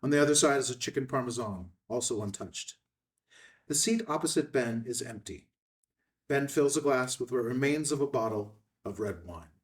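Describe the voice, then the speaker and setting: A man's voice: medium pitch, expressive, normal speed. Guy narrating a film script's action lines, midrange voice, a bit away from the mic. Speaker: stage directions narrator. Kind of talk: scripted narration at screenplay table read. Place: indoor filmed table read. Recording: slightly distant room microphone.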